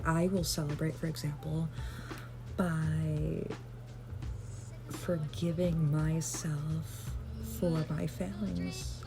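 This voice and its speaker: A woman vlogger rambling gently through casual self-care advice, with lots of fillers and self-deprecating asides. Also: deep voice, vocal fry.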